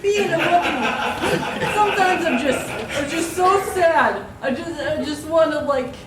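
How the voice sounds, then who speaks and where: A woman's voice: sits high, lively intonation, a little slow, crowd noise, noisy background. A woman improvising a stressed, overworked woman at a police station, talking in a frazzled, rambling way. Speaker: improv performer. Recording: echoey stage from a distance, loud audience.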